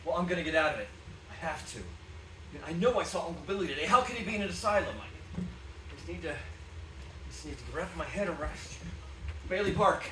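Male actor as emotional everyman husband: high voice, stammering, pleading, distant roomy stage recording. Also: lively intonation, brisk, pauses often, bright, forceful tone. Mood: bewildered. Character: desperate, excitable everyman husband and father. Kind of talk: live stage radio-play acting. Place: small indoor theatre stage. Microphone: far camera from back of audience. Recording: distant, echoey, noisy theatre audience recording.

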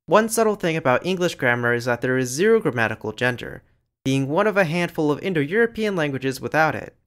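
A man giving scripted educational narration over slides, in a lively, explanatory way. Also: a little high, fairly quick. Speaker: language channel narrator.

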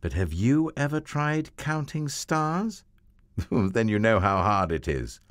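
A man voicing a ninety-nine-year-old patriarch in a storybook, counting haltingly and crying out in wonder. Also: sing-song, no room sound, clean studio sound. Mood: fumbling. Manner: hesitant counting, wavering high exclamations.

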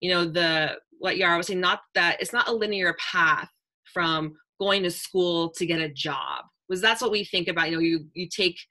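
A woman's voice: fairly low pitch, moderate pace, bright, forceful tone. A young woman speaking on an online panel in an earnest, reflective way, with many "like"s and "um"s, over a webcam on a video call. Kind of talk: casual panel talk. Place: indoor room with a virtual backdrop.

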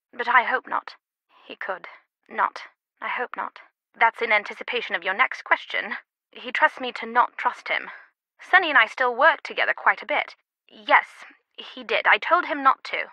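A woman playing an earnest, slightly guarded and loyal confidante in a video game, speaking in a measured way. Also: fairly high pitch, bright, forceful tone, no room sound, low-quality recording. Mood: serious, confiding. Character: earnest, secretive female phone confidante, loyal and concerned.

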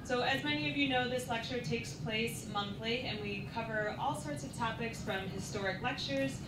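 A woman's voice: fairly high pitch, moderate pace. A young woman hosting a lecture evening, introducing the speaker in a friendly, formal way while holding a handheld mic in a small hall. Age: young adult. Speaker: event host, visitor services supervisor. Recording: echoey hall, distant mic, noise.

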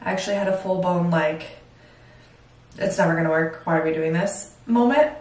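A woman's voice: on the low side, moderate pace, a little mellow. A young woman talking candidly to the camera in a casual home vlog, chatty and full of fillers. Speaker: vlogger. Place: home bathroom, indoors. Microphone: far camera. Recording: roomy echo from far off.

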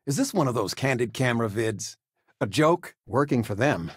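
Folksy, doting dad, slightly husky. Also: male voice, medium pitch, lively intonation, normal speed, no echo, clean studio sound. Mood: surprised, proud. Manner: folksy, affectionate, conversational. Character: warm, doting middle-aged father, gently joking. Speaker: video game voice actor. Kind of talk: natural, conversational game character dialogue.

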